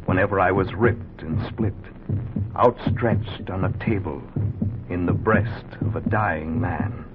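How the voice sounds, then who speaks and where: A crackling, humming 1940s radio recording carries a low, slow voice. It is the human heart itself, ancient and solemn, declaiming its story in grand poetic style for a radio play. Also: male voice, phone-quality sound. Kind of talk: 1940s radio drama acting, poetic monologue.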